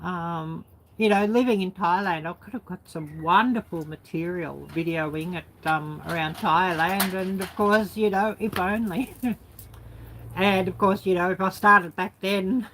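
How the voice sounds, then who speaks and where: A woman's voice: on the low side, fairly even intonation, unhurried, a bit bright, a little hiss or hum, poor audio. A woman vlogger reminiscing in a casual, unscripted way, rambling and colloquial, with lots of filler phrases. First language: English. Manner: rambling, colloquial, peppered with "you know" and "sort of". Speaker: YouTube vlogger.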